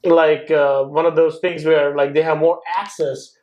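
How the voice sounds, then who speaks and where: A man's voice: on the high side, level tone, a little slow, darkish tone. A man from India, a guest investor in a casual podcast chat, talking with many "uh"s and "like"s. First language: Gujarati or other Indian language. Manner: hesitant, many fillers like "uh" and "like".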